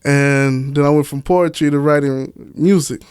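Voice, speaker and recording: A man's voice: a little high, fairly even intonation, moderate pace, a little mellow, clean tone, no room sound, studio quality. A man from Miami talking as a guest in a casual podcast chat, candid and slangy. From Miami, United States. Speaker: interview guest, author and stage play director.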